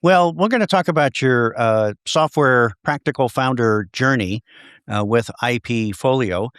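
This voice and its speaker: A man's voice: medium pitch, a little slow, a bit bright, clean tone, dry recording, clean studio sound. A man hosting a podcast, upbeat and conversational as he introduces and questions his guest. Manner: upbeat, conversational, some restarts. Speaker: podcast host. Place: home in Dallas.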